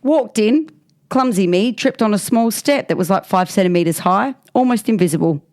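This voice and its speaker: A woman's voice: mid-range voice, animated, normal speed, a little mellow. A woman with an Australian accent co-hosting a podcast, reading out listener stories in casual comic chat and mockingly acting out the quotes. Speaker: podcast co-host.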